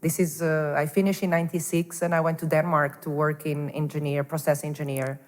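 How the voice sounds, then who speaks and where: A woman's voice: low voice, fairly quick. Woman in a reverberant hall. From Portugal. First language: Portuguese. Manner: animated, restarts often, says "you know" a lot. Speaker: guest, angel investor. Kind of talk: casual interview chat. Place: startup hub space.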